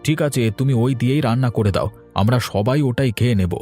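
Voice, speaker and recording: A man playing a stingy, grumbling middle-aged village father in a Bengali animated cartoon, talking in a dismissive, complaining way. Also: medium pitch, fast, faint music behind, natural voice.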